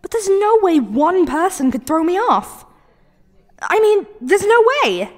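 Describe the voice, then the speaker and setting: A woman's voice: very high-pitched, sing-song, measured pace, dry recording, heavily acted. A woman playing a clumsy, flustered young barista who stammers and apologizes in an audio roleplay. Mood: puzzled, self-talk. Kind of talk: ASMR roleplay acting.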